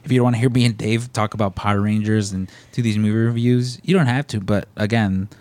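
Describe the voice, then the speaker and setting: A man's voice: on the low side, steady tone, fast, darkish tone, no echo. Laid-back man with a Chicago accent. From Chicago area, US. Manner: rambling, loose, joking. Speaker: podcast co-host. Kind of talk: casual chat.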